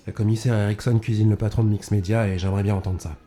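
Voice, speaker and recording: A man playing a gruff, irritated police detective in an audio drama, curt and demanding, swearing as he gives orders. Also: lowish voice, steady tone, fast talker, mellow, natural voice. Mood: irritated, hurried. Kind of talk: French audio fiction acting.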